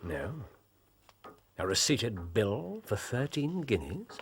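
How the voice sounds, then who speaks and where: An actor playing a shrewd, confident Victorian detective in a period drama, with an English accent and a theatrical, incisive, deliberate delivery. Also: male voice, fairly low pitch, very animated, full character voice. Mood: probing, cool. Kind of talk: period radio drama dialogue. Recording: clearly noisy, ambient background.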